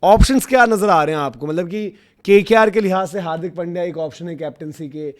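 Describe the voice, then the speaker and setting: A man talking as host of a casual cricket debate show, in colloquial, animated speech mixed with English words. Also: sits high, brisk. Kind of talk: casual sports debate chat.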